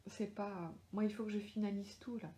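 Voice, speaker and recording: A middle-aged woman speaks with a strong French accent in a mellow, relaxed tone, chatting with readers and stopping often to search for words. Her voice carries a noticeable echo from the room at home where she records. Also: mid-range voice, moderate pace. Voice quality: hesitant, many fillers and restarts. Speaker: author answering readers live. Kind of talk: casual live Q&A chat. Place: home, lives in Australia.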